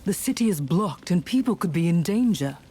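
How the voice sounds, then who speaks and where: A woman's voice: lowish voice, expressive, brisk, mellow, slightly rough. A woman playing a blunt, defiant warrior heroine in a video game, terse and confrontational. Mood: urgent, challenging.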